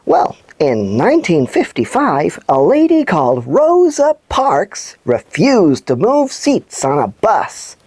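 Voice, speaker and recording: A woman reading scripted stories and segments for children as a show presenter, with expressive, deliberate delivery. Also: on the low side, breathy edge, no echo.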